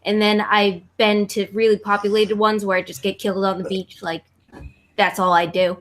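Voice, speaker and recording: Relaxed woman, mid pitch, casual chatter. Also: moderate pace. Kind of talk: casual group chat.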